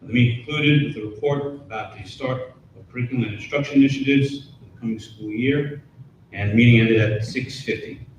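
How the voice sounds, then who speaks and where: A man reads a committee report steadily, with the odd uh. He sounds distant from the microphone in a strongly echoing meeting room with a faint rumble. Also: fairly quick, dull audio. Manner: steady, matter-of-fact, occasional uhs. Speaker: board member, committee chair. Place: school board meeting room.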